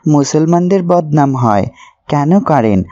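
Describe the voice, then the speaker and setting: A man's voice: a little high, animated, measured pace, warm tone, muffled recording. A man voicing an earnest, pious young man in a moral cartoon, speaking didactically. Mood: stern, reproachful. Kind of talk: cartoon voice acting, moral preaching.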